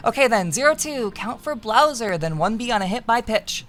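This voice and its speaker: A woman's voice: a little high, lively intonation, moderate pace, fairly bright tone, soft background music. Woman acting an emphatic young baseball fan announcer. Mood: animated announcing. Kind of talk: play-by-play commentary in visual novel dialogue.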